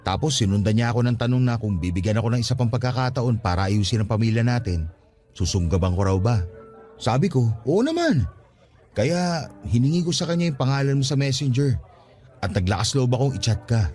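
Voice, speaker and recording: Actor as pleading young Filipino father, lively pitch. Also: fairly quick, close to an everyday voice. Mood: earnest confession. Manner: earnest, imploring. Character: pleading, remorseful estranged young father seeking a second chance. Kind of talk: Tagalog radio drama acting. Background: faint background music.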